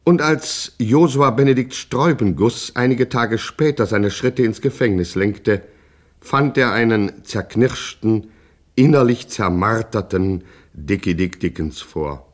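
A man's voice: on the low side, a little slow. A man narrating a satirical radio play in a dramatic, mock-epic scripted style. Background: music.

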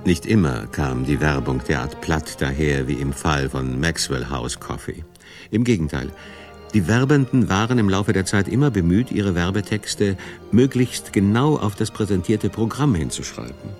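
Deep-voiced man narrating a radio documentary. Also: animated, normal speed, a little mellow, soft background music.

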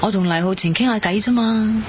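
An actress with a Hong Kong accent playing a gentle, curious young woman in a radio drama. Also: medium pitch, brisk, noisy background, lightly acted. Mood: chatty. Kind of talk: radio drama dialogue and first-person narration. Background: prominent music.

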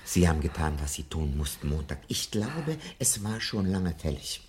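An actor playing an older, learned professor in a radio drama, speaking in an earnest, deliberate and emphatic way. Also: male voice, low voice, very animated. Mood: thoughtful, earnest. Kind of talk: 1970 German radio drama acting. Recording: radio drama with faint background noise.

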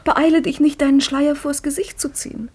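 An actress playing Fatime, a clever and devout young wife who is playful, earnest and intimate in a 1950s radio play. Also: female voice, high-pitched, little pitch movement, a little fast, darkish tone, natural voice. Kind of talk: 1950s radio drama acting.

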